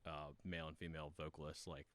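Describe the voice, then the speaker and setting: A man's voice: rumbling low, little pitch movement, fast talker, a bit bright, no echo. A young man as a podcast guest in easygoing casual chat, agreeable and full of "yeah"s.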